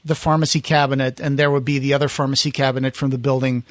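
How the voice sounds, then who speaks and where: A man's voice: mid-range voice, flat delivery, fast, no echo. A man hosting a podcast, casually recounting film history in a conversational storytelling way and quoting people as he goes. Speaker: podcast host.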